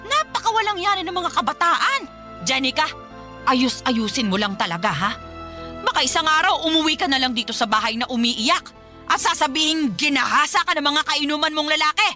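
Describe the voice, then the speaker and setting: Naggy filipino mom in radio drama, super high sharp voice, bossy and accusing, bit of music under. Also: female voice. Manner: nagging, accusing, sharp-tongued. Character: scolding, domineering, suspicious middle-aged Filipino mother. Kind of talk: melodramatic radio drama acting.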